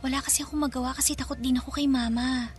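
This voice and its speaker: An actress playing a kind, timid young girl in a Filipino radio drama, speaking in a hushed, childlike, earnest way. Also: high-pitched, fairly even intonation, fast, faint music behind, natural voice. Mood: hushed, caring.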